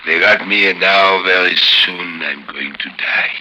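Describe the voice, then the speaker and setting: An actor playing a big, dying French crime boss with a French accent, speaking haltingly and solemnly in an old radio drama. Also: male voice, deep voice, sharp tone, full character voice. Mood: weary, fading. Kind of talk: 1950s radio drama acting. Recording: noisy old 1950s radio recording.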